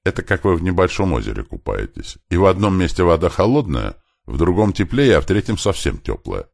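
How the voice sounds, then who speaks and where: A man's voice: low-pitched, very animated, a little fast, creaky, a little mellow, no echo, studio quality, pitched above the performer's own voice, heavily acted. A man voicing an earnest male professor in an audiobook, explaining things politely and with emphasis. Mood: patient, explanatory.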